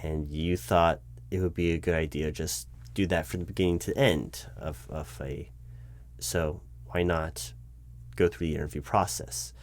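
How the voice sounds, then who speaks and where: A man playing a nervous, baffled corporate recruiting manager in an audio roleplay, polite and earnest with halting, stammering speech. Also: sits low, fairly even intonation. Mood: puzzled. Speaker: roleplay performer. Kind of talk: one-sided audio roleplay of a job interview.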